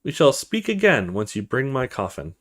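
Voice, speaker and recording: A man's voice: medium pitch, huge pitch swings, normal speed. A man reading the part of an eerie, commanding undead young boy in a horror visual novel, speaking in ominous requests.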